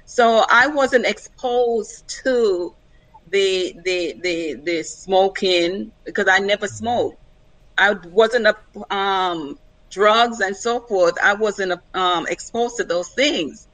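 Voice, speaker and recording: A woman's voice: medium pitch, takes it slowly, a bit bright, no room sound, low-quality recording. An older woman speaking casually and personally as a guest on a panel discussion.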